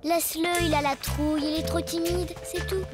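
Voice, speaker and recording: A spirited, teasing little cartoon girl, very high-pitched and brisk as she gives orders. Cartoon music plays clearly behind her. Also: little pitch movement. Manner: bossy, teasing, brisk counting.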